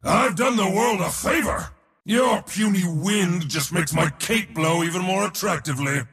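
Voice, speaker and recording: An actor playing a boastful, battle-hungry god of war in a video game, with a raspy, gravelly voice and a pompous, taunting, theatrical delivery. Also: male voice, moderate pace, a bit bright, big-room sound, full character voice. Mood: mocking, haughty. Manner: pompous, theatrical, taunting, swinging between bellows and low sneers. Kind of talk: video game hero voice lines.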